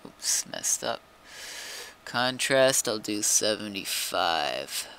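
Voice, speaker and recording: A man's voice: lowish voice, very animated, takes it slowly, vocal fry, pushed and bright, a little hiss or hum, poor audio. A man chatting casually and muttering to himself as he plays a game on stream. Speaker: streamer playing a zombie survival game. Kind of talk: casual gaming chat.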